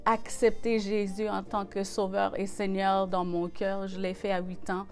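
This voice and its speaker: A woman's voice: mid-range voice, level tone, measured pace, a little mellow, faint music behind. A woman in her forties from Western Canada, a native English speaker with an English Canadian accent, speaking French in an interview with many hesitations. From Saskatchewan, Western Canada. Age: 40s. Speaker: gospel singer and guest. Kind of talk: casual interview.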